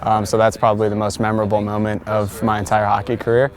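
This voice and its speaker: Young male athlete interviewee, lowish voice, modest, halting, casual. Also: completely flat delivery. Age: young adult. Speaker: college soccer player interviewee.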